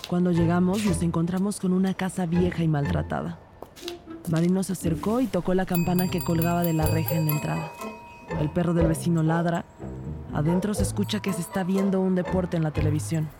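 A female detective played by a woman tells her case in measured, scripted narration. Her voice is on the low side, with clear music behind it. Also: steady tone, lightly acted. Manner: measured, descriptive. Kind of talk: scripted first-person narration.

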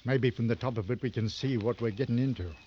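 An actor in an old radio drama playing a weary, sardonic big game hunter with a gruff, brooding manner. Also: male voice, mid-range voice, quick pace, lightly acted. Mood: tense, watchful. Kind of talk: 1940s-60s radio drama acting. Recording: dull, noisy old radio recording.

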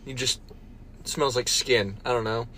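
A young man co-hosting a casual podcast, joking and throwing in quick questions. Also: medium pitch, fairly even intonation, fairly bright tone, slight background noise. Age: young adult. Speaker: podcast co-host. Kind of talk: casual chat.

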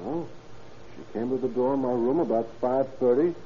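An actor playing an anxious young nephew, plaintive and earnest, in an old-time radio drama on a muffled, hissy recording. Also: male voice, mid-range voice, normal speed, lightly acted.

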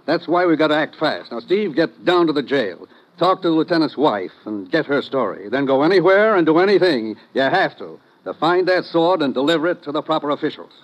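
A man playing a brisk, authoritative government commissioner in an old radio drama. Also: on the high side, very animated. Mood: stern. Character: stern government commissioner briefing his agent. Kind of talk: 1950s radio drama acting. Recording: dull old radio recording.

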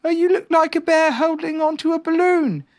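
Reader playing a kind, curious, high-voiced young boy. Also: moderate pace, darkish tone, no echo, clean studio sound. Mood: bright, helpful. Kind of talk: children's storybook character voice.

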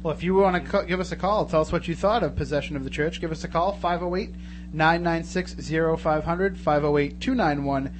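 A man's voice: on the high side, fairly quick. A man hosting a casual live radio interview in a studio, chatty and wordy. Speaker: radio show host. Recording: radio studio, loud noise and hum.

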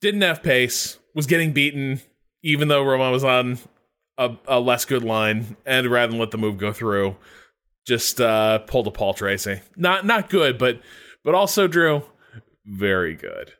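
A man co-hosting a motorsport podcast, telling stories casually and excitedly, acting out what people said. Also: medium pitch, measured pace.

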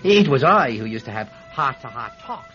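A man's voice: medium pitch, big pitch swings, normal speed, pushed and bright, faint music behind. A man playing a talkative, evasive Irish villager in a radio drama, hesitant and wheedling as he rambles. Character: talkative, evasive Irish villager testifying about his friend. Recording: dull, lo-fi radio recording.

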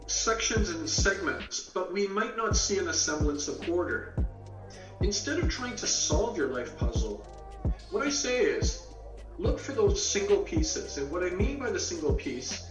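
A man, born in England and raised in Canada, answers interview questions as an author, building long metaphors and acting out quoted dialogue. His slightly high voice sounds far from the mic in an echoey, muffled recording. Music plays clearly behind him. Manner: explains with extended metaphors, acts out quoted dialogue. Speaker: author and guest.

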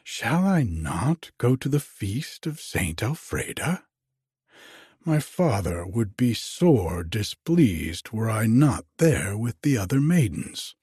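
A man's voice: lowish voice, big pitch swings, slow pace, a little mellow, breathy edge, no echo, studio quality, exaggerated voice. A man voicing a proud, teasing young maiden in a storybook romance, with theatrical, questioning, archaic speech. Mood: indignant.

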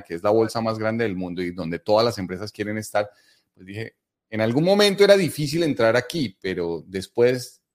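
A middle-aged man from Colombia with a Bogotá accent, answering interview questions conversationally and explaining things in long, measured sentences. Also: on the low side. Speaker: interview guest, investing educator.

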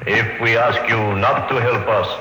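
A male actor playing a small, guarded alien native who answers in a terse, flat, cryptic manner in an old-time radio drama. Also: mid-range voice, unhurried, roomy sound, in a large hall, background noise, natural voice. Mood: solemn, guarded.

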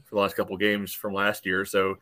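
A man's voice: low-pitched, completely flat delivery, a little fast, bright, forceful tone. A man in a casual, opinionated sports debate as a podcast panelist, blunt and often saying "you know".

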